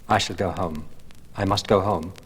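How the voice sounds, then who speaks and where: A man reading as a moody, irritable young gentleman, polished and evasive, on an old LP. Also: deep voice, fairly even intonation, moderate pace, close to an everyday voice. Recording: old LP, long reverb, faint noise.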